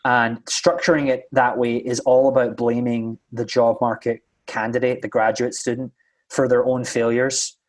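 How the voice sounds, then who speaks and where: A man with a mid-range voice and a lightly flattened Scottish accent talks through his opinion in a discussion. He sounds earnest and argumentative, building his point conversationally and often seeking agreement. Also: mid-range voice, normal speed. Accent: Scottish, somewhat flattened. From Scotland. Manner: earnest, argumentative, frequent tag questions.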